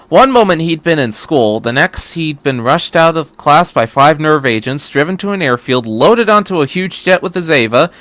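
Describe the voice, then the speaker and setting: A man's voice: a little high, fairly bright tone, no room sound. A man doing amateur story narration with sarcastic asides, stumbling over words and correcting himself. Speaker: fan fiction reader and commentator.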